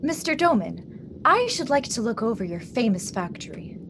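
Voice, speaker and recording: A woman's voice: a little high, sing-song, moderate pace, fairly bright tone. An actress playing a curious, well-bred young lady in a radio drama, polite and formal. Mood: polite, determined. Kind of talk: stage-style radio drama acting. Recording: noisy radio drama recording, constant hiss.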